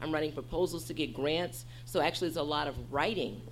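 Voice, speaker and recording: Low-voiced, animated woman professor. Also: fast, slight background noise. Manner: lively, animated, reassuring. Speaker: faculty member, panelist. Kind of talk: panel discussion.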